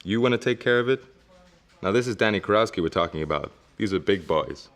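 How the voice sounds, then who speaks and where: An actor playing a senior police chief who lectures a young officer, in an amateur short film. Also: male voice, on the low side, expressive, fast talker, fairly bright tone. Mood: stern. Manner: authoritative, lecturing.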